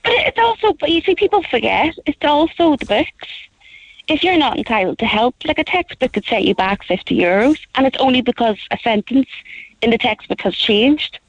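A woman from Belfast with a Belfast accent, a campaign organiser calling in to a radio chat show, talking emphatically and jumping up in pitch on her points. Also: normal speed, no echo, highs cut off. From Northern Ireland. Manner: lively, emphatic, pitch jumps up when stressing points. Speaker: caller, back-to-school campaign organiser.

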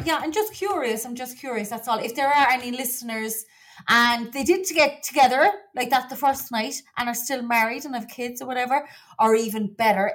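High-voiced Cork Irish woman chatting. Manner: chatty, colloquial, confiding. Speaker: podcast co-host. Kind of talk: casual gossipy chat.

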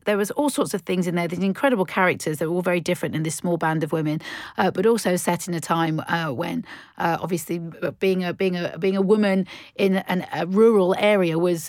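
A woman's voice: on the low side, no echo, studio quality. A woman presenter talking casually about books, in a hesitant, rambling way with many false starts. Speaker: radio presenter. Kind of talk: casual book recommendation.

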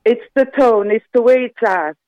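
Woman caller on a tinny phone line, measured and thoughtful. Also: on the high side, no echo. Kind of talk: radio phone-in discussion.

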